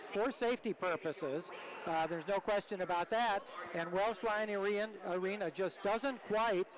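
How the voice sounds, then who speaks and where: An older American man with a notably high voice serves as co-commentator. He talks conversationally in a live sports broadcast from an arena. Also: normal speed, a crowd in the background.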